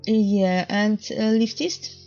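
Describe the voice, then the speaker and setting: Gravelly woman host. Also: mid-range voice, steady tone, slow pace, highs cut off, music in the background. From Poland. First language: Polish. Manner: hesitant, searching for English words. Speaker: podcast host. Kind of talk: casual online group chat.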